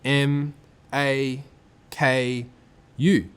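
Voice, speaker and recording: A man's voice: mid-range voice, level tone, extremely slow, fairly bright tone, clean tone. A man voicing a shy but eager eight-year-old boy in a children's storybook, with an Australian accent and an earnest, simple delivery. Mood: proud, friendly. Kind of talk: children's storybook read aloud.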